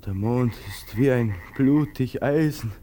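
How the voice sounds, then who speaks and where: An actor, playing a haunted, agitated young murderer in a 1950s radio drama, muttering in repeated fragments. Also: male voice, mid-range voice, slow, mellow, lightly acted. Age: 20s. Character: haunted, feverish young soldier turned murderer.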